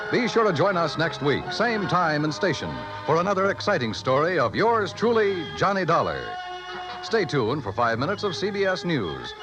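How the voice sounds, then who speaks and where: A man's voice: on the high side, rough recording. An American man announcing scripted credits in a polished, projected 1950s radio style. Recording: vintage radio broadcast, loud music behind.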